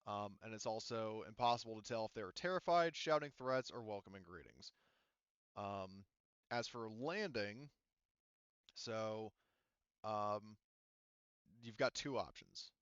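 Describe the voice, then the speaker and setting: A man's voice: fairly low pitch, animated, measured pace, long pauses, a bit bright, smooth, no echo. A man narrating as game master for a tabletop game, rambling with many fillers and restarts, heard over dull, lo-fi call audio.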